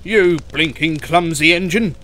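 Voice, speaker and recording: A blustering fire engine from a children's storybook, acted by a man. The voice is high and screeching, always scolding and demanding in an irritable temper. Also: a little slow, bright, forceful tone. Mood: furious.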